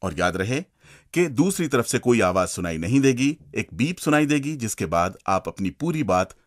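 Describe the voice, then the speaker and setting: A man's voice: medium pitch, sing-song, moderate pace. A man with an Indian accent co-hosting a scripted radio show in a theatrical, enthusiastic manner. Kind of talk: scripted radio presenting and banter.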